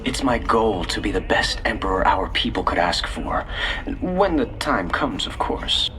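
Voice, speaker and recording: An actor playing an earnest, ambitious young prince in a video game, speaking in a formal, measured way. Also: male voice, lowish voice, sing-song, sharp tone, full character voice, rough recording. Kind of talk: video game cinematic acting. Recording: clear background noise.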